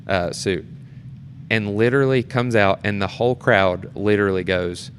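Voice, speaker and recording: A man chatting casually and telling stories in an animated way, acting out how people react. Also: fairly low pitch, moderate pace. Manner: animated storyteller, acts out reactions. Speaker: guest, amateur stage performer. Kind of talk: casual storytelling chat. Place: temporary studio in a theatre. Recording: clear background noise and room ambience.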